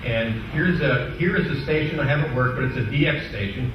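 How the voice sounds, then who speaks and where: A man giving an informal technical presentation to a club, explaining a software demonstration step by step in a halting way. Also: medium pitch, highs cut off. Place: indoor club meeting room. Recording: echoey room from far off, clearly noisy.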